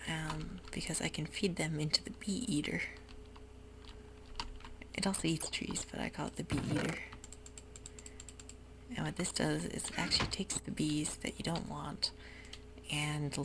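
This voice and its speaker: A woman giving soft-spoken, whispery commentary while she plays a computer game, with frequent ums. Keyboard typing can be heard close to the mic. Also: low voice, gravelly. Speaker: ASMR gaming creator. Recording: noisy home recording.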